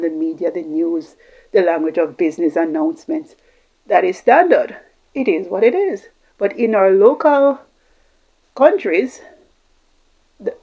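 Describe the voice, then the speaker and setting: A woman's voice: fairly low pitch, breathy edge, dull audio. A woman giving an informal explanatory talk, reflective and repeating phrases for emphasis. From Jamaica, Caribbean. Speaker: host giving communication tips.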